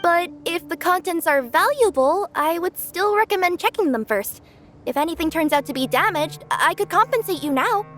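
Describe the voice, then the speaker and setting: A woman's voice: very high-pitched, expressive, moderate pace, a bit bright, faint music behind. A woman voicing a cheerful young female cat-spirit courier who speaks politely and stammers when nervous. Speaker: delivery courier. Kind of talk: video game voice acting.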